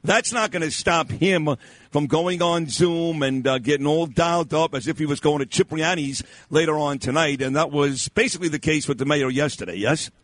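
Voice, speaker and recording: A man's voice: a little high, a bit bright. A male radio host chatting brashly and joking, mixing news talk and sports updates. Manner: brash, joking, rapid asides.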